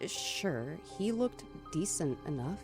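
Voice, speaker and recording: Actress as troubled, introspective daughter, dramatic first-person narration, slightly low register, clear music bed. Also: female voice, big pitch swings, takes it slowly, heavily acted. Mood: wary. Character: anxious, grieving adult daughter narrating her own thriller.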